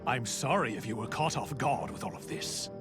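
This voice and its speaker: A man's voice: medium pitch, lively intonation, normal speed, close to an everyday voice. An actor playing a smug, scheming nobleman in a video game, theatrical and falsely polite. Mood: smug, triumphant. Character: smug, scheming courtly nobleman betraying a knight. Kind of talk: dramatic video game cutscene acting. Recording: music behind the voice. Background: game soundtrack music.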